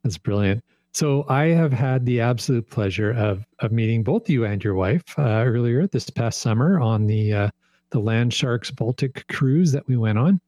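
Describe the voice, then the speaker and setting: A man's voice: mid-range voice, lively intonation, normal speed, mellow, no echo. A man hosting a podcast, asking questions in an upbeat, chatty way. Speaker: podcast host. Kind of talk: casual interview chat.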